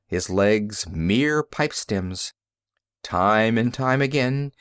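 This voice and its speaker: A man's voice: medium pitch, dry recording. Man narrating an audiobook, dry close sound. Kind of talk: scripted narration of pulp science fiction.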